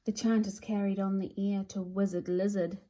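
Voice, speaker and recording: A woman's voice: mid-range voice, little pitch movement, moderate pace, mellow. A woman presenting and reading a children's picture book aloud in a theatrical, sing-song rhyming delivery. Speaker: storybook reader and presenter. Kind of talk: expressive children's read-aloud.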